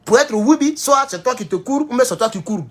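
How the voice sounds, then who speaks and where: A man with an Ivorian French accent giving a heated political commentary, jumping from loud high outbursts to low mutters. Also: expressive, a little fast. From Ivory Coast. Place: at home in California.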